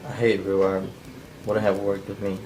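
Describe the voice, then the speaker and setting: A man's voice: lowish voice, flat delivery, moderate pace, darkish tone, a little hiss or hum, natural voice, poor audio. A man reading the part of a surly, sceptical killer with curt colloquial lines in an amateur table read. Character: gruff, sceptical, uneducated male killer. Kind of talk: cold table read of a script.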